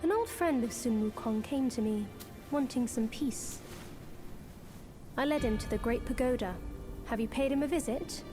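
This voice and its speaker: A woman playing an enigmatic, teasing mentor in a game, speaking in a measured, cryptic way. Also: fairly high pitch, over music, close to an everyday voice. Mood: cryptic, knowing. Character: enigmatic, teasing female mentor with mystical knowledge. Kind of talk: dramatic game voice acting.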